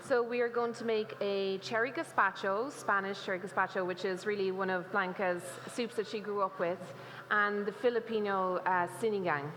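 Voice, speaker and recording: A woman's voice: medium pitch, steady tone, moderate pace, a bit bright. Chatty Irish woman on a festival stage. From Ireland. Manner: chatty, laughing, many fillers. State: slight cough. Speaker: cookbook co-author presenting a cooking demo. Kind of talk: live demo talk to an audience. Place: festival stage in a large hall. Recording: reverberant hall, faint noise.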